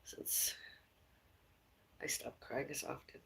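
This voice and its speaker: A woman with a raspy voice, rambling casually on a live stream from her home kitchen. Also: takes it slowly, a bit bright, rough recording. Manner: rambling, chatty, calls out to someone in another room. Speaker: vlogger.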